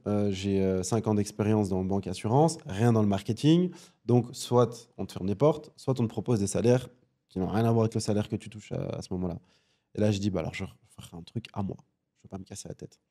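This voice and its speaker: Dark-voiced man in his thirties. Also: fairly low pitch. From Belgium. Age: 30s. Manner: halting, many fillers and restarts. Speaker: guest. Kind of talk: casual interview chat. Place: podcast studio.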